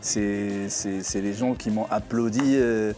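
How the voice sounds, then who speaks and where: A man's voice: on the low side, fairly even intonation, takes it slowly, mellow, soft background music. A man of Arabic mother tongue, an interview guest answering in French, hesitant with frequent fillers and restarts.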